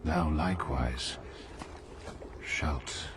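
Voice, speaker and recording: Extremely deep, ominous ghostly voice. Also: male voice, drawn-out words, noisy background, full character voice. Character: ominous ghostly murmur prophesying kingship. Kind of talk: classical radio drama acting.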